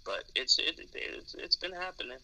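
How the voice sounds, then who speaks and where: A man's voice: fairly high pitch, big pitch swings, moderate pace, pushed and bright, poor audio. A man in candid podcast conversation, speaking thoughtfully and hesitantly, often repeating words as he explains. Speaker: podcast co-host discussing dating.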